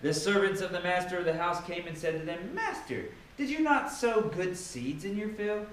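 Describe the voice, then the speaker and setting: In a heavily reverberant room with a faint noise floor, a man reads scripture aloud. His fairly high voice swings widely in pitch, and he delivers the verses theatrically, with emphatic pauses. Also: expressive. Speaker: Bible reader. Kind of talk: dramatic scripture reading with informal asides.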